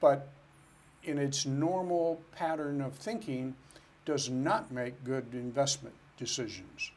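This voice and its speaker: A man's voice: mid-range voice, fairly even intonation, slow, a little mellow. A man who is a financial planner, answering interview questions in an earnest, step-by-step way. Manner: earnest, explains step by step with restarts.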